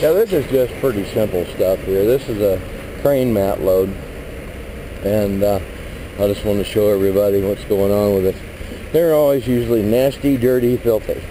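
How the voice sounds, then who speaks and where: A man, a truck driver, talking casually and rambling as he walks around his flatbed load outdoors, recorded on a body-worn camera. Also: on the low side, normal speed, background noise. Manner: rambling, plain-spoken, mild cursing. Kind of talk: casual walkaround vlog. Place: outdoors at a truck lot beside a flatbed trailer. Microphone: body-worn action camera.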